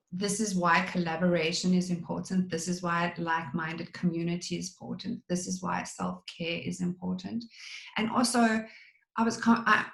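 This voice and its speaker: A woman hosting an interview, talking candidly and with self-deprecating humour in a relaxed conversational way. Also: fairly low pitch, vocal fry, echoey room, low-quality recording. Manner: candid, self-deprecating, talks about herself freely. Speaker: podcast host.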